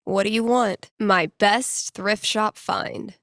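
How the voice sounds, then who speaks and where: Young game girl dj, wry n playful, mumbling thoughts to herself, lots of vocal fry, super dry recording. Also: medium pitch, fairly even intonation, slow pace, fairly bright tone, dry recording. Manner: dry, sarcastic, conversational. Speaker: video game character, radio DJ and record store worker. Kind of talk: video game voice lines, casual inner monologue.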